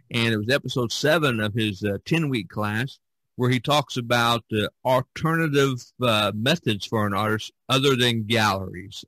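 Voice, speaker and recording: A man hosting a casual podcast, speaking in a halting, deliberate way. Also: mid-range voice, a bit bright, dry recording, low-quality recording. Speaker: podcast host.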